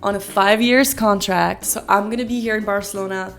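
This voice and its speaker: Chatty young streamer, slight French tinge. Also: female voice, mid-range voice, steady tone, fairly quick, creaky, faint music behind. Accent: American with a slight French tinge. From France. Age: 20s. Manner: chatty, candid, swears casually, self-deprecating. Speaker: streamer and content creator. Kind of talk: casual talking-head Q&A. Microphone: iPhone camera mic.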